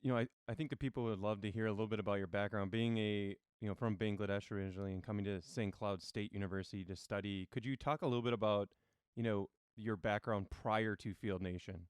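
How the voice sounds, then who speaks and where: A man in his forties hosting an interview, asking a longtime friend questions in a warm, slightly rambling way. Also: fairly low pitch, fairly even intonation, quick pace, clean tone, dry recording, clean studio sound. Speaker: podcast co-host, interviewer.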